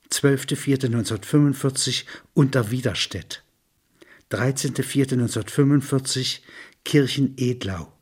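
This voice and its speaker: A man doing a scripted reading of dates and places, listing them in a deliberate, monotonous way. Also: mid-range voice, husky. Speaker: reader.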